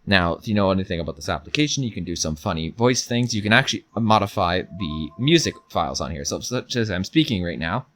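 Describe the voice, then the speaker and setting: Male tech reviewer, lower-pitched voice, informal step-by-step hands-on demonstration. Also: lively intonation, quick pace, hardly any pauses, fairly bright tone. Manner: informal, talks through each step. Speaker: video reviewer.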